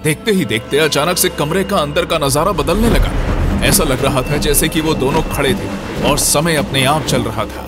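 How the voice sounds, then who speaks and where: A man's voice: a little high, moderate pace, over loud music. A man narrating a scripted horror story as its storyteller.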